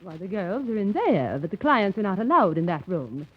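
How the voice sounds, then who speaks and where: An actress playing a proper, flustered employment agency clerk who protests politely in a 1930s radio comedy. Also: female voice, mid-range voice, sing-song, normal speed, husky. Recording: tinny, narrow vintage 1930s radio broadcast.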